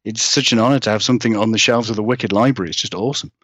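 A man's voice: lowish voice, very fast, fairly bright tone, husky, no room sound. A man chatting casually as an interview guest about his writing, rambling, hedging and self-deprecating. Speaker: guest, horror fiction author. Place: remote call from another country.

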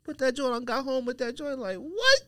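A man's voice: very high voice, big pitch swings, fairly quick, a crowd in the background. A man co-hosting a podcast in casual, slangy banter, speaking in an opinionated and emphatic way. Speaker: podcast co-host.

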